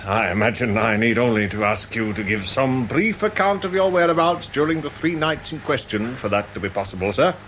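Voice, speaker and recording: An actor playing a stiffly formal British police inspector in a radio drama, measured and officious, with understated sarcasm. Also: male voice, medium pitch, lively intonation, fairly bright tone, a little hiss or hum, close to an everyday voice, low-quality recording.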